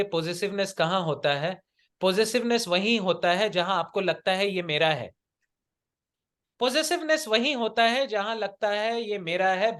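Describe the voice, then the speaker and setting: A man's voice: high voice, a bit bright. A man with an Indian accent giving an interactive spiritual lecture in a didactic, questioning manner, as a coach teaching a group. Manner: didactic, rhetorical questions, switches between Hindi and English.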